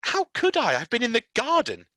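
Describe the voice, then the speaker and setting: A storyteller voicing a proud, quick-tempered young girl with a high, clipped, indignant voice. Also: huge pitch swings, bright, forceful tone, slightly rough, no room sound, studio quality, full character voice. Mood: bossy. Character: haughty, sharp-tempered young girl, Mary.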